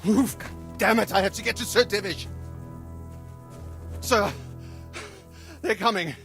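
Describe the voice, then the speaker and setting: A breathless wounded messenger. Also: male voice, high voice, measured pace, music in the background. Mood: urgent.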